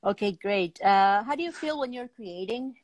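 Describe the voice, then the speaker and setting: A woman in her fifties hosting a casual interview on a video call, pausing often as she searches for words. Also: mid-range voice, expressive, dry recording. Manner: hesitant, pausing mid-sentence to search for words. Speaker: talk show host. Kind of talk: casual interview chat about art. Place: indoor room at home, on a video call.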